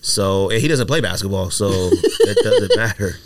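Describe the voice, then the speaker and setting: A man's voice: on the low side, lively intonation, normal speed. Slangy man pressing his point. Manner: slangy, repeats himself when pushing a point. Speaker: podcast co-host. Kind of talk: casual chat.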